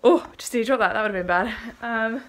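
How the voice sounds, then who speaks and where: A woman's voice: fairly high pitch, fast talker. Chatty British woman with a cold. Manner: chatty, lots of ums. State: congested with a cold, a bit out of breath. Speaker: vlogger. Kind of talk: casual vlog chat. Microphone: phone camera. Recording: phone recording with light background noise.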